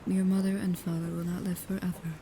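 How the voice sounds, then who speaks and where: Low-voiced female vampire protector, softly intimate, acted by a woman. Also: little pitch movement, lightly acted. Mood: gentle concern. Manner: slow, halting, often dropping into a whisper. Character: devoted female vampire protector of a young royal, proud, guarded. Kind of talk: soft, intimate ASMR roleplay acting. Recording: clear background noise with ambience.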